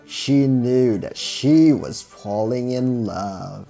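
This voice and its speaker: A man's voice: mid-range voice, drawn-out words, vocal fry, warm tone, soft background music. A man narrating a scripted fairy tale for children as a storyteller.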